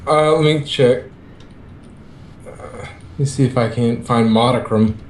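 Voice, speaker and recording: Man reading aloud, roomy echo. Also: unhurried. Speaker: co-reader in a group reading. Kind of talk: amateur fan fiction narration and casual chat. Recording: echoey room, faint rumbling noise.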